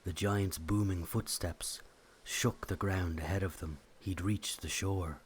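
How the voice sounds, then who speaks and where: A man's voice: deep voice, darkish tone, a little hiss or hum, rough recording. A man giving scripted storytelling narration of a mythic legend.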